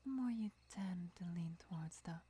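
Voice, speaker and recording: A woman playing a gentle, devoted vampire lover who teaches patiently in a breathy, measured whisper for an ASMR roleplay. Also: lowish voice. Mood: calm, instructive. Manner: measured, deliberate, soft-spoken explaining.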